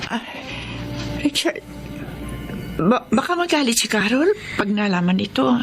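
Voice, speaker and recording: An actress playing a gentle, affectionate, bashful aunt with emotional delivery in a Filipino radio drama. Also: female voice, on the high side, sing-song, unhurried, over music. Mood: flustered, shy.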